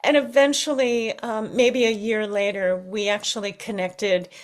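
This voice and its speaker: A middle-aged American woman reflecting in an interview, thoughtful and often halting as she searches for words. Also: mid-range voice, steady tone. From United States. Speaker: interview guest, singer.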